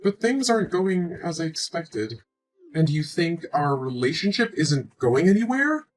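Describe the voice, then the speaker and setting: A woman's voice: lowish voice, very animated, a little slow, faint music behind, rough recording. A woman in her twenties playing a hurt, pleading young woman who has just learned she is pregnant, emotional and reproachful. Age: 20s. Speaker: pregnant girlfriend. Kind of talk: scripted relationship drama.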